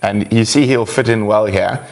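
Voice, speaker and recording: A man with a somewhat low voice hosts a live wildlife broadcast. He reads viewer questions in a loose, friendly way, full of fillers and easy laughs. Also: moderate pace, creaky, rough recording. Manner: relaxed, chatty, laughs often, many "um"s. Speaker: host of a live wildlife show. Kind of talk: casual live-stream chat, reading viewer questions.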